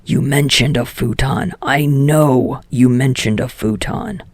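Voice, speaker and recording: A woman voicing an irritable, blunt teenage boy with a low gravelly rasp and a curt, dry manner in an audiobook story. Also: steady tone, takes it slowly, dry recording, full character voice. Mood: irritated, dry.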